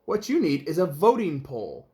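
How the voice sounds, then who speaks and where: A man's voice: fairly high pitch, wildly swooping pitch, normal speed, mellow. A man voicing a helpful, patient male adviser in a children's storybook, explaining things emphatically. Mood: advising. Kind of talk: children's storybook read-aloud.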